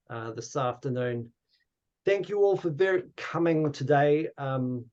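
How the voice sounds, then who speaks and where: A man's voice: medium pitch, a little mellow, dull audio. A man with a New Zealand accent hosting an online workshop, informal and courteous, with some hesitancy. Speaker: workshop host. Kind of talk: informal facilitation and closing remarks. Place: online video call.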